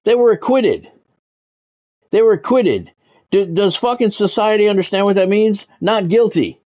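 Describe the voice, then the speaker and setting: A man hosting a game livestream, talking sports to his viewers in measured, announcer-like phrases. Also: high-pitched, lively intonation, dull audio. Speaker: game streamer and sports commentator, former radio play-by-play announcer. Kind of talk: livestream monologue, sports talk to chat viewers.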